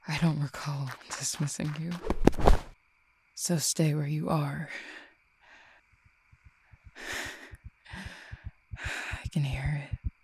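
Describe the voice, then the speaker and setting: Woman acting a low, whispery vampire girlfriend, noisy recording. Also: flat delivery, slow pace. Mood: menacing, hungry. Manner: soft, breathy murmuring, often whispered, soothing. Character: female vampire girlfriend, protective and tender, menacing in nightmare. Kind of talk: intimate audio roleplay acting.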